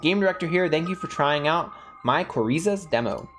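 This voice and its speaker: A man's voice: a little high, expressive, brisk, fairly bright tone. Man narrating a game, music behind. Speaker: gaming video host. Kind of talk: reading visual novel text aloud with casual commentary.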